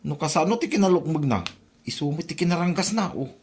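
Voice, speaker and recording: A man's voice: a little high, expressive, quick pace, a little mellow, husky. A man with an Ilocano accent playing a needling drinking buddy who mocks his pal in a comedy drama podcast. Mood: mocking.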